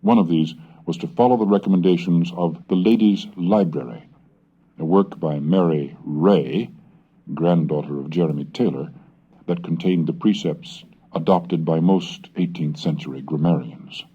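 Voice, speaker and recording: एक आदमी की शांत, विद्वान कथन-शैली, गहरी मुलायम आवाज़, धुंधली पुरानी कैसेट रिकॉर्डिंग. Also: male voice, normal speed, breathy edge. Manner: calm, scholarly, low-key. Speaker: reader and lecturer on language history. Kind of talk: scripted educational narration. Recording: dull old cassette recording.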